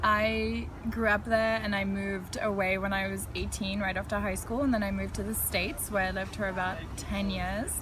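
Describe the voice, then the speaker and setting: A woman's voice: medium pitch, flat delivery, moderate pace, few pauses, noisy background. A woman in her thirties from South Africa, telling her story as a guest in a casual interview, frank and chatty. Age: 30s. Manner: frank, chatty, laughs lightly while recounting.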